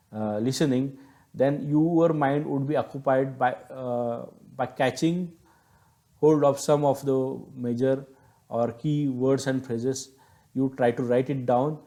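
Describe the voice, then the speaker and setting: A man's voice: medium pitch, steady tone, unhurried, mellow. A man with an Indian accent giving an explanatory lecture, stressing key words and rephrasing his points in a didactic way. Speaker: teacher, lecturer.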